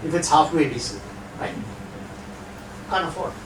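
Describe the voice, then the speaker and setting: A man's voice: a little high, a little slow, background noise. A middle-aged man with an Indian accent giving a lecture and answering questions in a conversational way, with rhetorical asides. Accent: Indian English. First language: not Hindi. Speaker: guest lecturer. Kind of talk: lecture with audience Q&A. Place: lecture hall. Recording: echoey hall, distant mic.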